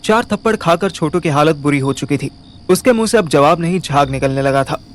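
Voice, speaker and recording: Man acting a high-voiced wisecracking young detective narrator. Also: expressive, brisk, close to an everyday voice. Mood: storytelling. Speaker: narrator and lead character of an audio story. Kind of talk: first-person audio story narration with acted dialogue.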